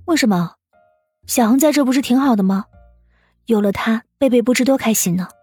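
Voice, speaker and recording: A woman voicing an anxious, soft-hearted wife and mother in an audiobook, urgent and questioning. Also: on the high side, a little fast, slightly rough, no echo, clean studio sound, faint music behind, pitched above the performer's own voice. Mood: puzzled, protesting. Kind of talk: audiobook character acting.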